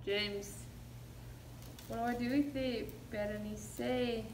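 A woman's voice: a little high, fairly even intonation, takes it slowly. A woman co-hosting a craft video with casual, playful chat, her voice slightly muffled by a face mask. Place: indoor room with decorated backdrop. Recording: clear room noise, table-distance camera.

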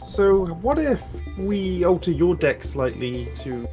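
A man's voice: high voice, very animated, slow, heavily acted. A man voicing an eager, talkative young duelist in improvised game roleplay, hesitant as he explains things. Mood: chatty, hesitant. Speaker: player in a Minecraft roleplay. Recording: loud music behind the voice. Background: loud music.

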